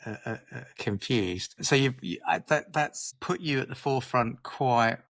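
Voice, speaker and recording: A man's voice: medium pitch, expressive, moderate pace, fairly bright tone, no echo. A man in a mid-range voice hosts a podcast, winding through long questions and repeating words as he goes. The sound is dry and close to the mic. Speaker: podcast host. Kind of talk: conversational interview questions.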